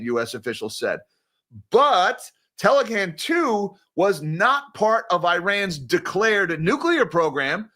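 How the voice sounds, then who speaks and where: A man hosting a live-stream news commentary, talking in an animated, exasperated, ranting way. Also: high voice. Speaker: host.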